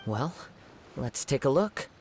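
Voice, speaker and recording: An actor voicing a calm, solemn young wandering samurai in a video game, speaking in a measured, formal, contemplative way. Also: male voice, mid-range voice, slight background noise. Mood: solemn, explanatory.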